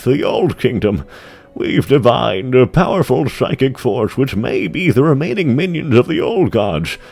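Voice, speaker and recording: Guy putting on an old bug-creature sage, voice pitched up, musing n formal, fantasy audio drama. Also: gravelly, darkish tone, husky, exaggerated voice. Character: old insectoid elder seer, scholarly, cautious adviser.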